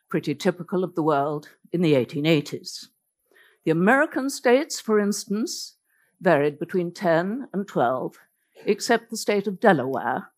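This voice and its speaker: A woman whose first language is Norwegian, giving an illustrated lecture as a biographer, telling the story vividly with wry asides. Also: fairly low pitch.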